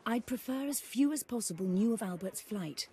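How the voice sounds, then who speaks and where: A woman's voice: fairly high pitch, expressive, normal speed, warm tone, slightly rough. Genteel, wry older noblewoman. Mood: guarded, courteous. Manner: formal, polite, wryly dry. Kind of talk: video game character acting.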